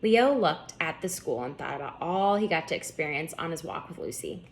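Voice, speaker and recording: A woman author narrating a children's storybook in an expressive read-aloud manner. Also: sits low, a little fast, slight background noise. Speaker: author reading her book aloud.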